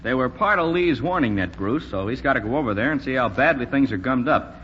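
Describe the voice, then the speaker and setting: An actor playing a brash, wisecracking young American fighter pilot, slangy and breezy, in a 1940s radio drama style. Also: male voice, medium pitch, expressive, a little fast, close to an everyday voice. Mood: joking, brisk. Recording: muffled, noisy vintage radio recording.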